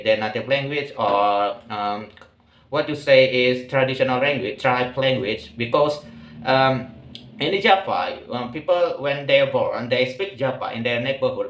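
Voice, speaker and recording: A man's voice: mid-range voice, fairly bright tone, echoey room, low-quality recording. Hesitant young man. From Indonesia. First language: Malay. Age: late 20s. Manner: hesitant, halting, pauses often to search for words. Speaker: vlogger. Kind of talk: casual personal monologue.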